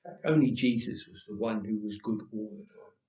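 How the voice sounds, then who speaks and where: A man reading a story aloud with expressive, dramatic pauses, recorded in an echoey church. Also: fairly low pitch, recorded from a distance. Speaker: storyteller, reader. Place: church, indoors.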